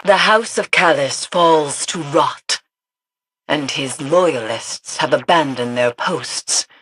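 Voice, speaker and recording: Game role: solemn female mystic field agent, very low voice, unhurried, ominous formal delivery. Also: a bit bright, breathy edge, dry recording, full character voice. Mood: grave, ominous. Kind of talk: dramatic video game dialogue.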